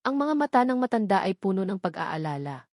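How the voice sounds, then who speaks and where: A woman narrates a romantic drama in a mid-pitched voice. Her phrasing is solemn and deliberate, and the sound is dry and close. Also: very animated, darkish tone, no room sound. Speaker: storyteller. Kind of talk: dramatic scripted love-story narration.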